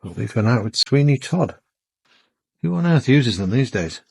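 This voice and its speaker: An actor playing a down-to-earth detective colleague in a radio crime drama, with a dry, matter-of-fact manner. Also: male voice, medium pitch, sing-song, moderate pace, vocal fry, a little mellow, husky, no echo, exaggerated voice. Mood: wry. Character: wry, down-to-earth male police detective colleague.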